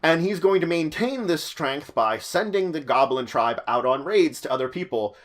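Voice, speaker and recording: A man narrating a conversational explainer as a host, with theatrical emphasis, dramatic pauses and chatty asides. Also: a little high, expressive. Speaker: host of tabletop roleplaying guide videos.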